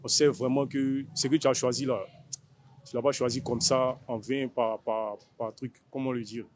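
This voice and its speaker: A man's voice: mid-range voice, little pitch movement, normal speed. A man with an Ivorian accent talking informally in an interview as a relative of a fighter. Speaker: fighter's older brother being interviewed.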